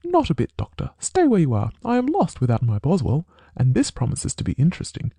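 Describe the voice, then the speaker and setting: A man voicing a brisk, dryly confident detective. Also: on the high side, huge pitch swings, vocal fry, warm tone, husky, no room sound, studio quality, pitched above the performer's own voice, heavily acted. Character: keen, brisk male detective, dry wit. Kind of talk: audiobook character voice.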